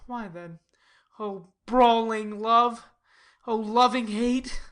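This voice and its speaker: A woman's voice: a little high, fairly even intonation, slow pace. A woman playing a lovesick, brooding young nobleman in a dramatic Shakespearean reading, with a sighing, melodramatic delivery. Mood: anguished, passionate.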